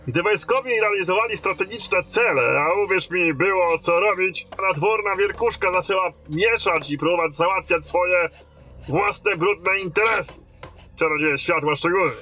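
Man acting fantasy fortress captain, battle-worn, blunt, commanding, tense bright edge, soft music behind. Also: high-pitched, normal speed. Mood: grave, explaining. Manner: commanding, barking orders. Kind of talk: fantasy audio drama acting.

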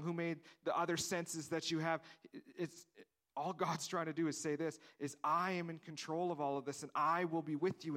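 A man's voice: fairly high pitch, a bit bright. Man preaching a conversational sermon. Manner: conversational preaching, acting out dialogue; repeats words, restarts phrases. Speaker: pastor.

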